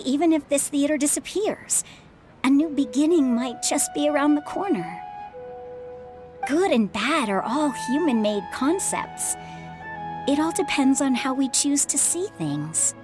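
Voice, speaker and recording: A woman playing a calm, reassuring middle-aged mother and former adventurer in a video game. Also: sits high. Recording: music playing behind the voice.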